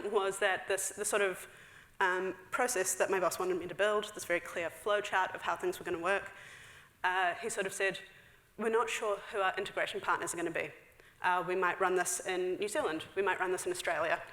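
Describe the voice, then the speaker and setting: A woman's voice: mid-range voice, fairly bright tone. Chatty woman speaker in an echoey hall. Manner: chatty, frequent fillers, quick asides with laughs. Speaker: conference speaker. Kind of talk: technical conference talk. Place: large dark theater stage.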